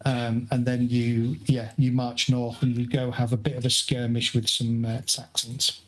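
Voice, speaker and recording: Guy running the game, even middle voice, walks thru the rules slowly w lots of ums. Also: mid-range voice, rough recording. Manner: measured, explanatory, pauses with fillers. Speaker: game master. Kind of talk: explaining rules in an online tabletop game.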